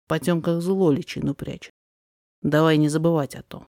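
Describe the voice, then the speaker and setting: A woman playing a wise, cautious male sorcerer in a rhymed audio drama, speaking in a quiet, measured, deliberate way. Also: low voice, steady tone, no room sound, studio quality. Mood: cautious, steady.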